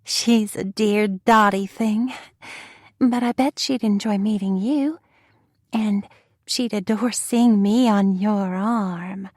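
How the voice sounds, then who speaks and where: Flirty spinster, nasal drawl, gushing, drawn-out words. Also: female voice, mid-range voice, steady tone, darkish tone, no echo, studio quality, pitched above the performer's own voice. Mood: coy. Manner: slow, coy and gushing.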